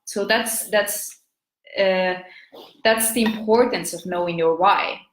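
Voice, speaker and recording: A woman's voice: mid-range voice, slow pace, echoey room, a little hiss or hum, poor audio. A woman with an Estonian accent, a business coach hosting a live video talk in her thirties, hesitant, with many fillers and restarts.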